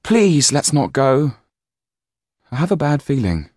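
An anxious boy from a storybook, acted by a man, raised in pitch. He sounds wary and earnest, with an urgent edge to his words. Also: big pitch swings, takes it slowly, darkish tone, no room sound, exaggerated voice. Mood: desperate.

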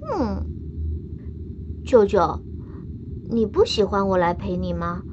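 A woman's voice: medium pitch, slow, a little mellow, close to an everyday voice. A woman playing a naive, affectionate young girl stowaway in a radio drama, childlike and coaxing, in slightly muffled audio. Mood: wistful. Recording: dull muffled audio with faint noise.

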